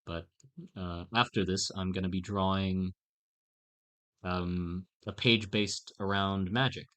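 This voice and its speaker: Man, very low voice, live streamer, halting, rambling, self-mocking. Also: level tone, a bit bright. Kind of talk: casual live-stream chat.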